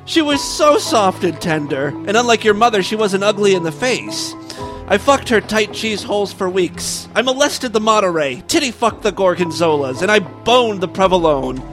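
A man's voice: high voice, big pitch swings, moderate pace, over music. A man voicing a manic, lecherous husband in a theatrical, grandiose comic story. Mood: confessional, desperate.

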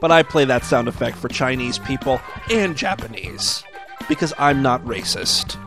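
A man's voice: mid-range voice, expressive, moderate pace, over music. A man hosting a comedy podcast, reading odd news stories and voicemails in a crude, mocking way and laughing at his own jokes. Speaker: podcast host.

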